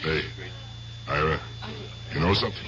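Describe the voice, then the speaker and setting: An actor playing an aging, haunted gangster, a doting uncle with streetwise, ungrammatical, halting talk, in a radio drama. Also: male voice, extremely low pitch, dull audio. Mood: jovial. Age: older. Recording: noisy radio drama recording.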